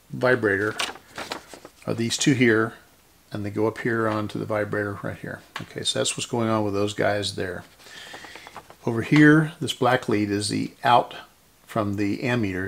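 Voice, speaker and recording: A man gives a hands-on repair demonstration, explaining informally and methodically step by step in a creaky voice. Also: lowish voice. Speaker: host of a radio restoration series.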